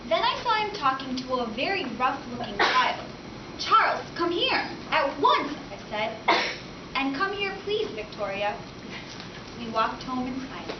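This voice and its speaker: A woman's voice: high-pitched, big pitch swings, a little slow. Woman playing haughty mother in echoey room. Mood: bossy, anxious. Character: snobbish, bossy middle-aged mother. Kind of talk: dramatic storybook read-aloud. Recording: echoey room from far, noisy, dull.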